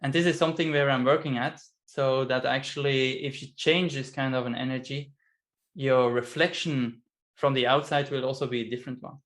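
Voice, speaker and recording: A man, a business coach speaking as a guest on a video call from home, thoughtful and often pausing with fillers and restarts. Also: mid-range voice, steady tone, a bit bright. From Belgium. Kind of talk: casual interview chat. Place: home in Vienna.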